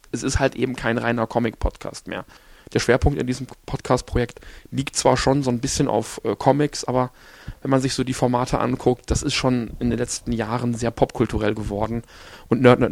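A man hosting a podcast on his own, hoarse after an illness, rambling along with many fillers and restarts. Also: mid-range voice, no room sound. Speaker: podcast host. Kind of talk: casual solo podcast talk.

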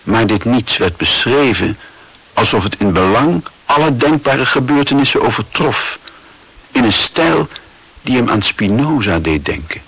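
An actor delivering scripted, wry literary narration in a radio play. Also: male voice, mid-range voice, expressive, unhurried, a bit bright, slightly rough, no echo, full character voice, low-quality recording.

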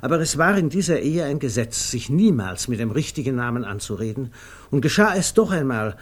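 Wry, measured male storyteller. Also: mid-range voice, big pitch swings. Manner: measured, precise, drily amused storytelling. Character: wry literary male narrator of a comic tale. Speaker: narrator. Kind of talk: 1950s German radio play narration.

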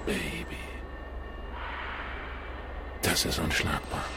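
A man's voice: low-pitched, big pitch swings, extremely slow, creaky, noisy background, heavily acted. An actor playing a cool, flirtatious male gamer in a radio drama, with casual, slangy delivery. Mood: flirtatious, insistent. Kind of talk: scripted radio drama acting. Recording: music behind the voice.

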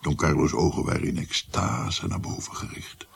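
An actor playing a shaken old grandfather who recounts a haunting memory in a dramatic, halting radio play narration. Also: very deep voice, in a whisper, heavily acted. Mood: grave, haunted. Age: older. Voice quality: husky, gravelly.

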